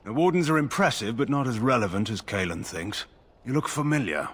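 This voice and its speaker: An actor playing a stern, proud, middle-aged general in a video game, formal and condescending. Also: male voice, medium pitch, animated, brisk, slightly rough. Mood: stern, dry. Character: stern, proud, skeptical male general and nobleman. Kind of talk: video game character dialogue.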